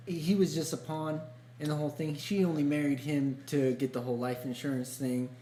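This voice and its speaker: A man's voice: fairly high pitch, flat delivery, fairly quick, mellow. A man co-hosting a podcast, telling stories in a casual, easygoing, colloquial way. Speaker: podcast co-host. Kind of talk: casual conversational storytelling. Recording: echoey room with hum and noise.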